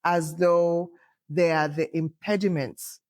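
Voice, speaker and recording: A woman in her fifties hosting a podcast, speaking slowly and deliberately. Her voice is loud and assertive, and she talks in a thoughtful, reflective way with frequent pauses. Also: on the low side, steady tone. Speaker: podcast host. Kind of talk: reflective conversational talk.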